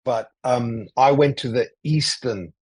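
Husky-voiced man chatting over a call. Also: medium pitch, a little slow, no echo. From Greece. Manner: relaxed, rambling with many fillers and restarts. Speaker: podcast guest, traveller. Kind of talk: casual interview talk. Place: cozy room.

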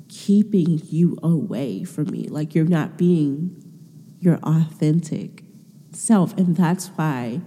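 A woman's voice: fairly low pitch, mellow, breathy edge, in a large hall. A woman hosting a podcast from her room, talking casually about faith with a stuffy nose, rambling with lots of filler words. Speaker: podcast host. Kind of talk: casual faith talk monologue.

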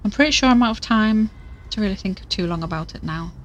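A woman's voice: medium pitch, fast talker, dull audio, slight background noise, close to an everyday voice. A woman playing a determined, wry investigator in a horror audio drama, plain-spoken and conversational with some urgency. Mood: wry, resolute. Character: determined, wry female investigator racing against doom.

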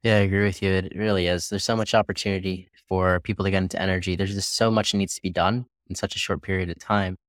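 A man's voice: low voice, little pitch movement, fast talker, hardly any pauses, no room sound, studio quality. A man hosting a podcast interview, asking questions in a friendly, conversational way. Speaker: podcast host.

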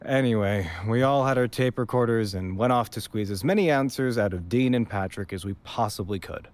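A man's voice: medium pitch, brisk, darkish tone, natural voice. A man playing a wry, awkward young man in an audio drama, speaking conversationally with a sardonic edge. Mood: rueful. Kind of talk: audio drama acting and first-person narration.

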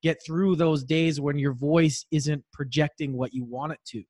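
A man hosts a podcast and asks his questions in a casual, rambling way, piling extra detail onto each one. His voice sits a little high for a man. The recording is dry and close. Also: fairly even intonation, moderate pace, darkish tone, no echo. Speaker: podcast host.

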